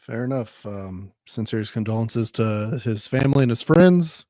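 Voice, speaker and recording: Hoarse man losing his voice. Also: mid-range voice, lively intonation, normal speed, mellow, no room sound. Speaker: podcast host. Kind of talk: casual podcast sign-off.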